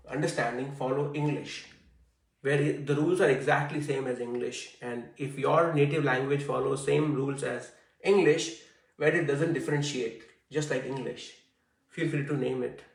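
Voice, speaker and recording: A man's voice: mid-range voice, fairly even intonation, measured pace, gravelly, distant mic. Echoey man teaching a class. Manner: conversational, frequent fillers and "I see". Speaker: Hindi teacher.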